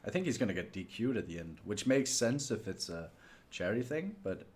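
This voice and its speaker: A man's voice: fairly low pitch, very animated, a little fast, a little mellow, a little hiss or hum. A man hosting a sim racing livestream in casual, chatty talk, polite and a little self-deprecating. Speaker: livestream host and sim racer. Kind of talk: casual livestream gaming chat.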